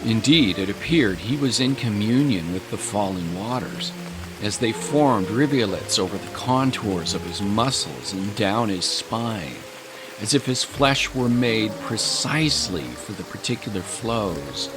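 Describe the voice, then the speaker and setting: Guy narrating audio drama, lowish voice, measured n dramatic, music under it. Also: lively intonation.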